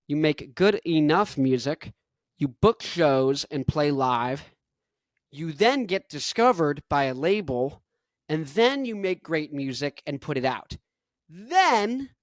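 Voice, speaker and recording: A young man hosting his own podcast in an energetic, rambling monologue full of "like". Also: high voice, dry recording, studio quality. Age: young adult. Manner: rambling, energetic, heavy use of "like". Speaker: podcast host.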